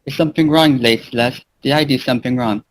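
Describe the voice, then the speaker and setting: A man's voice: medium pitch, quick pace, dry recording, lightly acted. A man voicing a polite, devoted young manservant in a fan fiction reading, courteous and deferential. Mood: worried.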